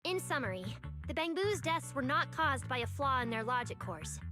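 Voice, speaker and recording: High voiced young tech girl for a game, earnest n emotional, music behind her. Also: big pitch swings, normal speed. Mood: focused, formal. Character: earnest young woman technician, passionate, easily distressed. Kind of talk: video game voice acting. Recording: background music under the voice.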